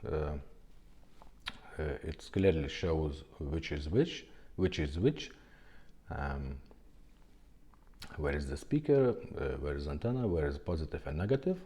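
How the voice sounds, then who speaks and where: A man who speaks English as a second language, giving an informal hands-on tutorial in a halting way, often checking in at the ends of phrases. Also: extremely low pitch, expressive, mellow. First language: not English. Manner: halting, repeatedly ending phrases with a checking "okay?". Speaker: video tutorial host.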